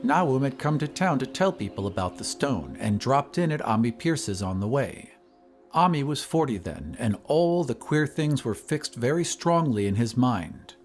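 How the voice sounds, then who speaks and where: A man reading a horror story as scripted dramatic narration, in a measured, literary storytelling manner. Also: mid-range voice, big pitch swings, faint music behind. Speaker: narrator of an audio drama podcast. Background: ambient sound effects.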